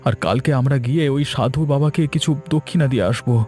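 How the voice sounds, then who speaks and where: A man's voice: mid-range voice, quick pace, a little mellow, faint music behind, natural voice. A man playing a shaken middle-aged village father and husband in a cartoon. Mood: shaken, sober. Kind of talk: Bengali cartoon voice acting.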